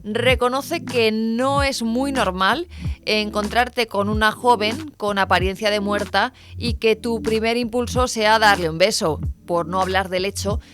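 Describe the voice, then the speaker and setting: A woman's voice: medium pitch, unhurried. A woman narrating a light scripted list video in a playful, wry, questioning manner. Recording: music behind the voice. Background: music.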